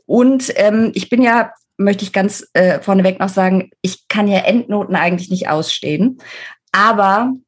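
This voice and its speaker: A woman's voice: mid-range voice, moderate pace. A woman, an author explaining things conversationally as an interview guest.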